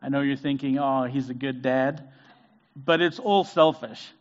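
A man's voice: mid-range voice, fairly even intonation, a little slow, dull audio. Hesitant man preaching. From Zambia. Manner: hesitant, many fillers and restarts. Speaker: guest preacher. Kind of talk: conversational sermon. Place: church sanctuary.

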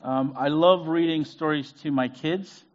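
A man's voice: fairly high pitch, measured pace, lo-fi sound. A middle-aged man from Zambia, giving a conversational sermon as a guest preacher. He talks with many fillers and restarts. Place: church sanctuary.

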